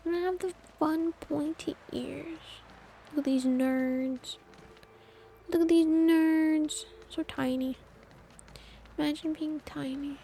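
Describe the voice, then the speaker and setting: A woman streams a game with casual, playful commentary and some swearing. Her voice is high yet soft-toned, and she takes her time, with quiet music behind her. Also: vocal fry, slight background noise.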